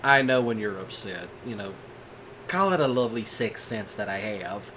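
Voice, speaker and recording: A man voicing a calm, patient rancher boyfriend in a soft-spoken ASMR roleplay, folksy and reassuring. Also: fairly low pitch, moderate pace, noisy background, natural voice.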